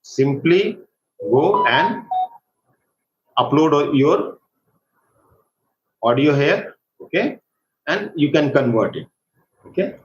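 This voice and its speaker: A man with an Indian accent giving a live step-by-step software tutorial as an instructor, haltingly, often checking for agreement with short tag questions. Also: on the high side, animated. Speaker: workshop instructor. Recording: echoey room, dull lo-fi sound, faint noise.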